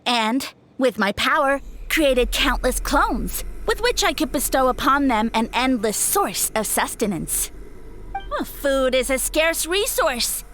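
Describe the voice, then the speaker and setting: A woman's voice: sits high, big pitch swings, slow, a bit bright, slight background noise, heavily acted. A woman voicing a boastful male mushroom spirit who calls himself a savior, grand and evasive in a video game. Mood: grandiose. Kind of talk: game voice acting.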